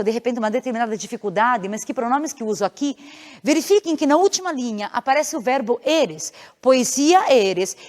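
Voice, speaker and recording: A Brazilian woman giving an explanatory language lesson, addressing students directly in a didactic way as she works through exam questions. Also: high-pitched. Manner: switches between Portuguese explanation and reading Spanish aloud. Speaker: Spanish teacher. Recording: long hollow reverberant hall echo.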